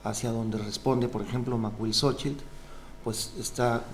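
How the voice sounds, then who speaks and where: A man's voice: mid-range voice, fairly even intonation, unhurried, gravelly, a little hiss or hum. A man, a doctoral candidate earnestly explaining his research with frequent fillers. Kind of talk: defending a thesis.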